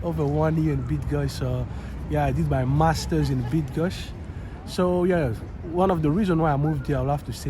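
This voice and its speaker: A young man from Rwanda hosting a casual Q and A vlog outdoors in a city, chatty and relaxed. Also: a little high. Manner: relaxed, chatty, loose and upbeat. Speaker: YouTube channel host. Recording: outdoor city noise and traffic. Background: street and traffic noise.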